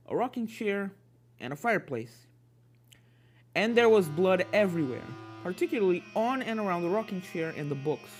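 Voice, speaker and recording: A narrator reading a scripted fan fiction story aloud. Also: mid-range voice.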